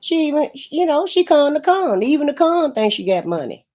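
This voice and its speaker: A woman's voice: high-pitched, very animated, fairly quick, dark tone. A woman talking in a colloquial, opinionated way in casual livestream gossip commentary. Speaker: co-host of a livestream. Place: livestream call. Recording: muffled lo-fi livestream audio.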